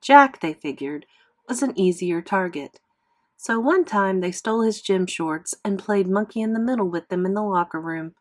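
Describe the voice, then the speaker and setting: A woman reads a children's novel aloud in expressive classroom read-aloud narration, like a teacher with a class. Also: mid-range voice, normal speed.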